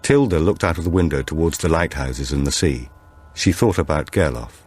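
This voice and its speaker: A man reading a thriller audiobook as a dramatic narrator, with measured, expressive storytelling. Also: extremely low pitch.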